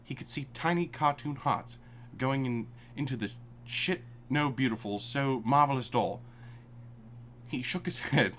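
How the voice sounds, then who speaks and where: A man's voice: medium pitch, unhurried, fairly bright tone, slight background noise. A man reading a story aloud live for comedy, with an irreverent manner and amused asides. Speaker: reader of fan fiction.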